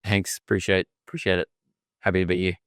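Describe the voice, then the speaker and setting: Australian man, low smooth voice. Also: level tone, quick pace, fairly bright tone, no echo, studio quality. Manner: chatty and self-deprecating, often repeats words and laughs. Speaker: podcast guest. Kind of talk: casual storytelling interview.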